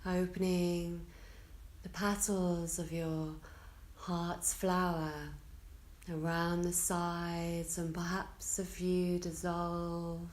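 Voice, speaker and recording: A woman teaching yoga nidra, guiding a relaxation meditation in a soothing, unhurried way with short phrases and long pauses. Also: on the low side, flat delivery, darkish tone.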